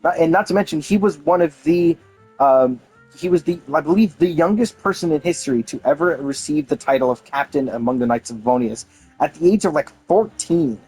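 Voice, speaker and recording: A man's voice: a little high, expressive, fairly quick, darkish tone, breathy edge, rough recording. Casual, rambling man commenting on a game. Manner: rambling, hesitant, informal. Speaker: video game streamer. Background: game music.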